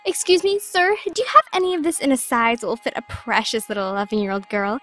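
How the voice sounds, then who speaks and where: A bossy, bubbly girl in an audio drama acted by a woman, very high in pitch with faint music behind. She gushes and tosses out flippant remarks. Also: big pitch swings, fast talker, a bit bright. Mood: excited, brash. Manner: flippant, gushing. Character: brash, bubbly, bossy teenage girl mentor.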